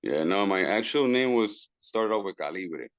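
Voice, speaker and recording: A man's voice: deep voice, expressive, moderate pace, no room sound. A man, a guest musician who talks casually, stumbles over repeated words and drops into Spanish phrases. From Puerto Rico. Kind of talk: casual interview talk.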